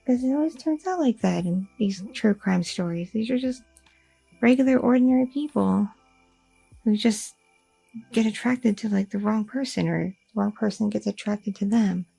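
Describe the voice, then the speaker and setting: A young goth girlfriend who overthinks everything and rambles through her worries, as a woman acts her out in an ASMR roleplay. Her voice is low-lit and mellow with a gravelly, husky edge. It is recorded close and dry over faint music. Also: normal speed, dry recording, rough recording. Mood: anxious.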